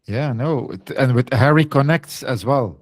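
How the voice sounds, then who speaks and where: A man's voice: mid-range voice, unhurried, no room sound, studio quality. A man on a casual group video call, friendly and encouraging, with broken phrasing. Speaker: community member.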